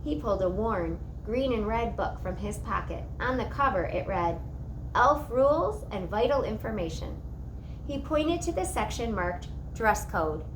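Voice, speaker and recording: A woman reading a children's story aloud in an expressive narrating style. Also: medium pitch, normal speed, hardly any pauses, noisy background.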